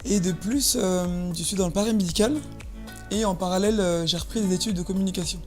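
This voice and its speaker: A woman's voice: medium pitch, fast talker, darkish tone, soft background music. A woman of about thirty from Paris, speaking casually as an interview guest, often repeating words as she searches for phrasing. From Paris, France.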